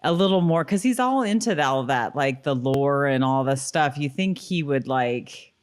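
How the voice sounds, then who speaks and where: A woman's voice: rumbling low, animated, normal speed, clear, resonant tone. Giggly woman, casual podcast co-host. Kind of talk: casual chat recapping a TV episode.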